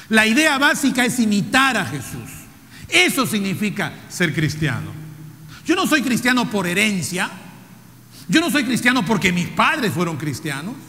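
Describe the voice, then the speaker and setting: A Peruvian man in his fifties preaching a sermon, who asks the congregation rhetorical questions, repeats key phrases and now and then drops to a soft, low voice. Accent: Peruvian Spanish. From Peru. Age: 50s. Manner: jokes with the audience, drops to soft low tones for emphasis. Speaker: pastor. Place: church hall. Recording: reverberant church hall.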